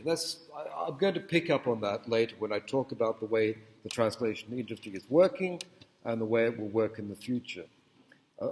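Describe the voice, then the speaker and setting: A man's voice: a little high, a little mellow. Man lecturing in an echoey hall. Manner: conversational, digressive, checks in with the audience. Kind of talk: university lecture. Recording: echoey hall with long reverb.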